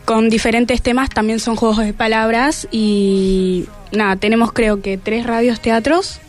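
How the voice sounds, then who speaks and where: A woman's voice: a little high, fairly even intonation, a little slow, faint music behind. A teenage female student giving casual interview answers. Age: teens. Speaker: student guest.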